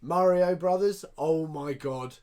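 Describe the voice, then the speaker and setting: Male co-host, slightly high voice, opinionated and emphatic, informal. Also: takes it slowly, a little mellow. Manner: blunt, sweary, emphatic. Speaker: podcast co-host. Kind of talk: casual, opinionated chat.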